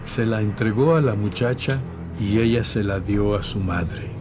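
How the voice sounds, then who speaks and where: A man narrating a dramatized audio Bible from a script in solemn, clearly phrased storytelling. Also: fairly low pitch, measured pace, music in the background.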